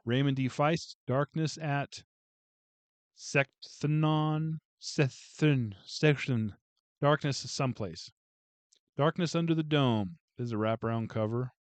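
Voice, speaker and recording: A man's voice: medium pitch, slow, no room sound. A man casually going through a book haul on a video, chatting and joking with viewers as he reads out titles. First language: English. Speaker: booktube vlogger.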